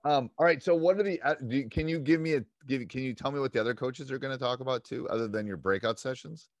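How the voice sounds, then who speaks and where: A man's voice: mid-range voice, fast talker, no room sound. Middle-aged American male host and coach, blunt and conversational, recorded dry and close on a desk mic. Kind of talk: casual interview chat. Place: home room with bookshelves. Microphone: desk microphone close up, headset on.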